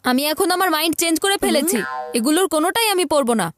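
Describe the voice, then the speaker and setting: A woman's voice: very high-pitched, lively intonation, fast talker, noisy background. A woman voicing a cheeky, stubborn little brother in a children's cartoon, with a taunting, whiny, theatrical delivery. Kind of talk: children's cartoon dub acting.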